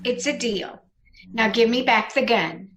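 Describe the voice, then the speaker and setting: A woman's voice: a little high, a little slow, a bit bright, low-quality recording. A woman playing a scheming, murderous bride, coy and sugary, in an old-time radio mystery. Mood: cold, scheming.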